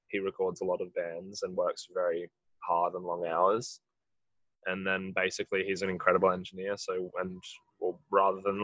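A musician with a husky voice, answering interview questions in a rambling way, full of "like". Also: male voice, low voice, fairly bright tone, no room sound, muffled recording. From Australia. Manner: rambling, heavy use of "like". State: husky from late rehearsal. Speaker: musician in a band.

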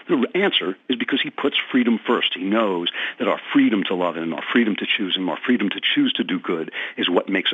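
A man's voice: fairly low pitch, no room sound. A man answering interview questions earnestly, with many fillers and restarts. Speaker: guest commentator. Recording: thin phone-like remote line.

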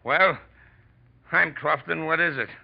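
An actor playing a shaken man on the run bluffing gruffly in a 1940s radio drama, curt and halting, with a gravelly voice. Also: on the high side, dry recording. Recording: muffled old radio recording.

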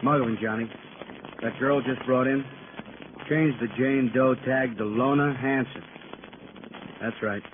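An actor playing a terse, businesslike police detective with clipped delivery in a 1950s radio drama. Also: male voice, mid-range voice, fairly even intonation, measured pace, long pauses, lightly acted. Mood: grim, businesslike. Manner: clipped, matter-of-fact. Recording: muffled noisy old radio recording.

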